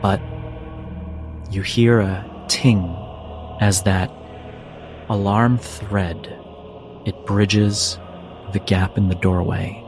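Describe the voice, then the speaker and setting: A low-voiced man runs a tabletop role-playing game. He narrates scenes deliberately, pausing between short phrases, with music clearly playing behind him. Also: little pitch movement. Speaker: game master.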